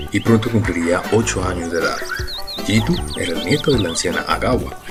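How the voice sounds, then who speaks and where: A man narrating an audio drama in a measured, scripted storytelling style. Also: low-pitched.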